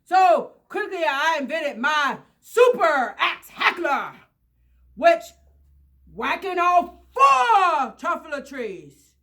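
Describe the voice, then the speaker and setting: A woman reading a children's storybook aloud in a theatrical, halting way with fillers. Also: very high voice, big pitch swings, pushed and bright. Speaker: storybook reader at a library.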